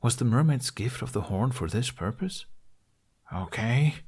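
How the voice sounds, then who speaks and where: A man's voice: medium pitch, expressive, moderate pace, a little mellow, slightly rough. A man narrating a fantasy story as an audiobook, reading scripted prose expressively.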